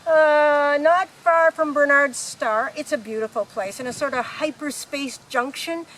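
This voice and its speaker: A woman's voice: sits high, measured pace, fairly bright tone. A woman acting a breezy, unflappable space guidebook researcher in a radio comedy play, explaining things casually. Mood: wry, casual. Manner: casual, matter-of-fact explaining. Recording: noisy background ambience.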